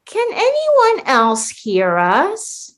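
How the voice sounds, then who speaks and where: A woman's voice: high-pitched, huge pitch swings, very slow, fairly bright tone, heavily acted. A woman acting in a comedic radio play as a disgruntled, petty schemer trapped in a holiday sitcom, theatrical and defiant. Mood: wary, curious.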